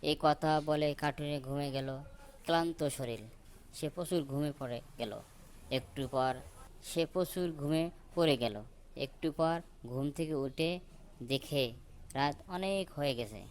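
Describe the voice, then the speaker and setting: A man tells a children's cartoon folk tale at a slow pace and middle pitch. Faint noise sits in the recording. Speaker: story narrator.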